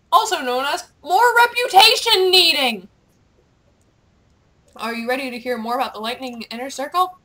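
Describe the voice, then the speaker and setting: A young woman gamer with a playful, excitable, teasing manner, chatting over gameplay and reading game dialogue aloud. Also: high-pitched. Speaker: gamer, video host.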